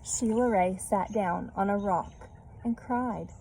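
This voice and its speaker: A woman's voice: medium pitch, takes it slowly, mellow, a little hiss or hum, low-quality recording. A woman reading a children's story aloud, adding chatty asides and little questions to her listeners. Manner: checks in with listeners using tag questions.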